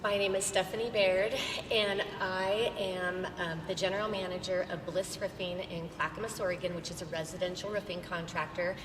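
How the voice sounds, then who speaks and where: A woman's voice: fairly even intonation, normal speed, few pauses, distant mic. A middle-aged American woman speaking informally as a panelist into an event microphone. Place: large event hall. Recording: echoey hall microphone with noise and rumble.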